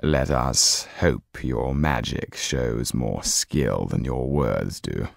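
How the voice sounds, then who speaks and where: A man voicing a sinister, cold aristocratic count who speaks slowly and with contempt. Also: extremely low pitch, vocal fry, no echo, clean studio sound, voice pushed down, heavily acted. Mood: contemptuous. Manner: slow, icy, contemptuous.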